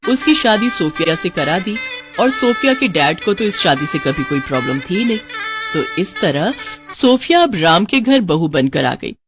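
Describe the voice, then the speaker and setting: A woman's voice: on the low side, big pitch swings, normal speed, over music. A woman with an Indian accent reading scripted narration for a moral story.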